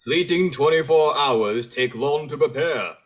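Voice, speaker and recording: A man playing Santa Claus as a theatrical storybook narrator, speaking in rhyme with a husky voice. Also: fairly high pitch, measured pace. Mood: storybook, grave.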